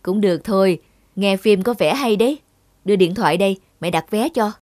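A woman playing a firm, caring mother in an animated moral tale, speaking in a measured, explanatory, motherly way. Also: medium pitch, dry recording, studio quality, close to an everyday voice. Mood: agreeable. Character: firm, caring mother of two young daughters. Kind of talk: animated cartoon dubbing, clear instructive dialogue. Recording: music behind the voice.

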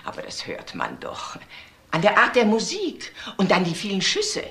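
An actress playing a chatty, self-assured, fussy baroness in a German crime radio drama. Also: female voice, mid-range voice, huge pitch swings, moderate pace, a bit bright. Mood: fussy, indignant.

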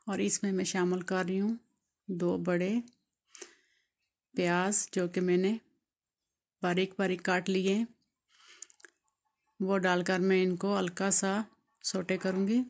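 A woman from Pakistan narrates her home cooking video step by step. Her delivery is calm and explanatory, with a mid-height voice that stays flat and even, barely rising or falling. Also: medium pitch, slow pace, pauses often. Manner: calm, explanatory, walks through each step. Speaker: home cooking channel host.

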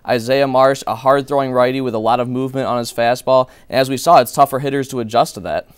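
A man's voice: mid-range voice, fairly even intonation, quick pace, smooth, no echo. A young man working as a sports analyst in a small TV studio, breaking down games and players confidently and fluently. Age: young adult.

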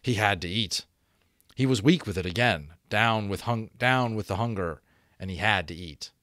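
Male narrator, 30s, lower register, dry close-mic sound, dramatic storybook delivery. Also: normal speed, sharp tone, no room sound. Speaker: audiobook reader, voice actor. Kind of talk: expressive read-aloud narration of a novel. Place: small home room, indoors. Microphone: close desk microphone.

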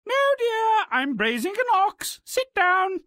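A woman's voice: very high-pitched, big pitch swings, takes it slowly, fairly bright tone, no room sound, clean studio sound, full character voice. A woman voicing a motherly, wary giant's wife in a fairy-tale video game, fussy and coaxing. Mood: fussing, soothing. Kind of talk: video game character acting.

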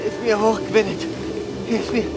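A woman's voice: mid-range voice, level tone, normal speed, natural voice, poor audio. A woman playing a desperate, exhausted victim pleading for help in a German audio drama, her voice strained. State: strained, at end of strength. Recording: loud music over the voice.

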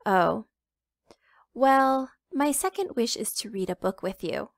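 A woman voicing an excitable little chicken in a picture book read aloud, squealing and sing-song. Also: a little high, slow pace, vocal fry, no echo, studio quality, exaggerated voice. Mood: eager. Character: excitable little girl chicken, chatty and pushy.

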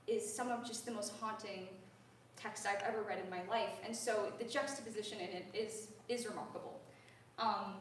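A woman's voice: medium pitch, distant mic. Young woman lecturing in an echoey hall. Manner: earnest, conversational, frequent ums. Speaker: voice student giving a lecture recital. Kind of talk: spoken lecture. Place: large recital hall with a grand piano. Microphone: far-off room camera. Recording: echoey hall, heard from afar, faint hiss.

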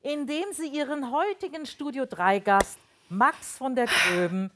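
A woman narrating a scripted live radio play in an expressive storytelling manner. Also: high-pitched, a little slow, noisy background.

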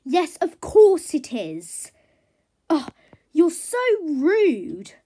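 A woman voicing a spoiled, dramatic, easily offended mare in a children's horse story, with snobbish, drawn-out, indignant complaints. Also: a little high, sing-song, darkish tone, breathy edge, exaggerated voice. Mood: outraged, haughty. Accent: put-on accent unlike the other characters'.

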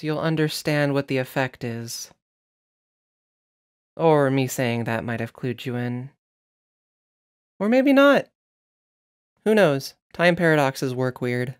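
Casting: woman narrator-host, deep register, for scripted reading and casual asides. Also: clean tone.

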